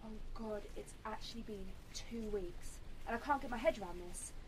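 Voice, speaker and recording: A woman's voice: fairly high pitch, normal speed, a little hiss or hum, close to an everyday voice, rough recording. A woman playing an upbeat, hospitable survivor of a disaster in an amateur radio drama. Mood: uneasy.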